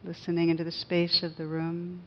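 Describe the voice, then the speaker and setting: A woman leading a guided meditation as a teacher, speaking gently and unhurriedly with pauses. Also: on the low side, completely flat delivery, highs cut off, slight background noise.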